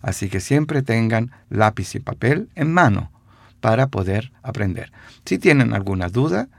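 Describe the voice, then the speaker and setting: A man giving a radio language lesson, repeating phrases slowly with hesitant fillers. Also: medium pitch, very animated, vocal fry, dry recording. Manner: slow, repeats phrases for dictation; stumbling fillers.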